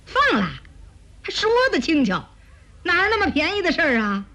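A woman's voice: very high voice, very animated, normal speed, bright, forceful tone, pitched above the performer's own voice, exaggerated voice. A woman storyteller voicing an arrogant, lecherous young male villain with a mocking, scornful delivery. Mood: sneering, mocking.

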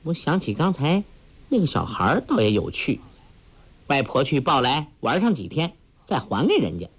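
A man's voice: medium pitch, wildly swooping pitch, moderate pace, breathy edge, exaggerated voice. A man voicing a clever, bold young woman in a martial arts story, teasing and then imperious in turn. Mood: playful. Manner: coy and playful, then imperious.

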